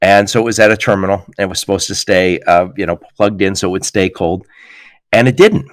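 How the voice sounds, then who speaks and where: A man hosting a podcast interview, telling stories in a lively way and laughing often. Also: sits low, a little fast, no echo, studio quality. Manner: animated storyteller, laughs often. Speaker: podcast host. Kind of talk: casual interview chat.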